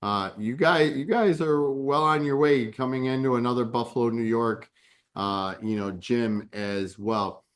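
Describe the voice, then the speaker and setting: A man's voice: mid-range voice, a little slow. A man hosting a casual MMA podcast interview who asks long, hyped-up questions and often stumbles and repeats words. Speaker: podcast host.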